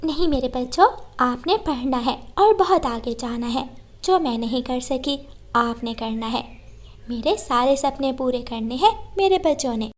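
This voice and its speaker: A high, gentle mother bird from a children's cartoon, acted out by a woman. She is hardworking and humble. She speaks politely, with a soft, pleading manner. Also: measured pace. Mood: tender.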